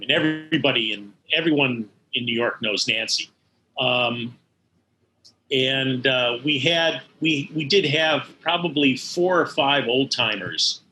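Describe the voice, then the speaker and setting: An older American man recalling his work as an interview guest, in a halting way that circles back over words. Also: mid-range voice, sharp tone, slight background noise, poor audio. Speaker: interview guest, animation studio veteran. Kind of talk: conversational interview recollection.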